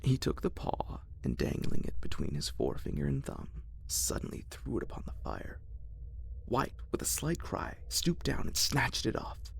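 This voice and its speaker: Hushed male whispering narrator. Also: medium pitch, wildly swooping pitch, moderate pace, creaky, a little hiss or hum. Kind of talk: ASMR whispered horror story reading.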